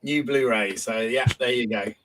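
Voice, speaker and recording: A man, hoarse from a cold, chatting casually as a guest and hesitating often. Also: medium pitch, moderate pace, poor audio. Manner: relaxed, hesitant, many ums. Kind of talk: casual chat.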